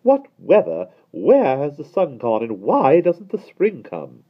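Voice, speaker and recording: A man voicing a fussy, genteel lady with a fluttery, drawn-out way of speaking. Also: on the high side, huge pitch swings, muffled recording, pitched above the performer's own voice, exaggerated voice. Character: fussy genteel lady, middle-aged, prim.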